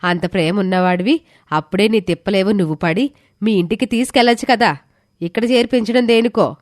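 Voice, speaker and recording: A woman's voice: mid-range voice, fairly quick, no room sound, clean studio sound, natural voice. A woman voicing a defensive, scolding hostel warden with a sarcastic, reproachful manner.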